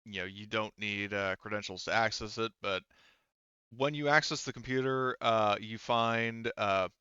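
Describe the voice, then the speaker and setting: A man running a tabletop roleplaying game as game master, explaining the scene in halting, unscripted narration. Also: on the low side, fairly even intonation, sharp tone, clean tone, dry recording, highs cut off.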